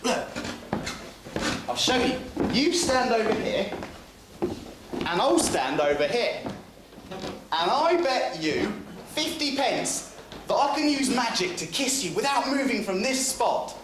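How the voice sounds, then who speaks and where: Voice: medium pitch, very animated, takes it slowly, pauses often. An amateur performer playing a cheeky, lovestruck young male servant in a stage pantomime, with a playful, theatrical delivery. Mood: playful, boastful. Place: stage in a hall. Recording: echoey hall, faint noise.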